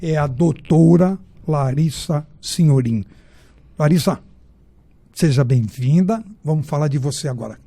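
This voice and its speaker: A man's voice: fairly high pitch, a little slow, gravelly, mellow. A middle-aged man hosting a sports and training podcast, interviewing a guest in a familiar, informal way.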